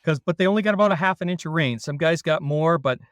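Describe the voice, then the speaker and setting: A man's voice: a little high, fast, no echo, clean studio sound. A man with a Canadian accent, a dairy consultant leading a casual podcast chat with self-deprecating jokes. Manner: self-deprecating, jokey, relaxed.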